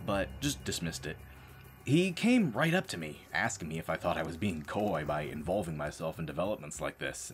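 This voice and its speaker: A man acting a world-weary, deadpan space marine reduced to janitor, telling his story as dramatic audio drama narration. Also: very deep voice, background noise. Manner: sardonic, deadpan, brooding. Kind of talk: dramatic first-person scripted narration.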